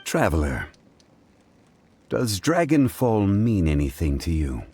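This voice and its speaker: A man playing a calm, learned gentleman in a video game, speaking in a measured, formal, pensive manner. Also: low voice, slight background noise, full character voice. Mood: serious. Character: calm, learned, dignified gentleman consultant of ancient wisdom. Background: game music.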